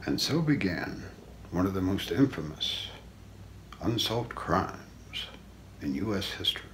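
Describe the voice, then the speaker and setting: A middle-aged man reading an ASMR true-crime story in a hushed, deliberate way, often slipping into a near-whisper. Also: sits low, very animated. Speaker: ASMR role-play narrator. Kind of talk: ASMR true-crime narration read from notes. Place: small room indoors. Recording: faint background noise.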